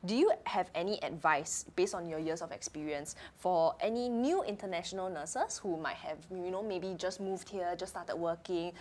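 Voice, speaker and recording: A woman hosting a podcast, asking interview questions in a bright, lively manner. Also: lowish voice. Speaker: podcast host.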